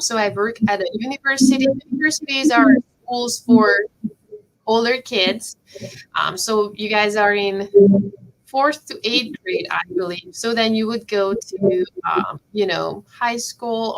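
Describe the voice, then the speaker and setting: A woman's voice: a little high, fairly bright tone, poor audio. A woman, a professor giving a friendly chemistry talk to children over a video call and checking in with them often. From Hungary. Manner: encouraging, checks in often with the kids. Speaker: professor teaching a kids' chemistry camp.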